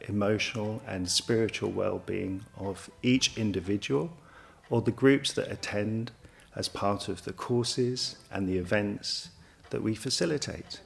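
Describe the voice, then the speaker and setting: A man on a college's staff speaking earnestly and deliberately in a promotional interview. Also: fairly low pitch, gravelly. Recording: music behind the voice. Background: background music.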